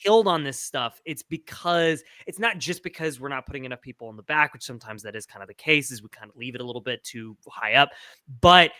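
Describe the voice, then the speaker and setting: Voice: mid-range voice, fast, bright, forceful tone, no echo. A podcast panelist in a casual sports discussion, explaining things in long, looping, emphatic runs.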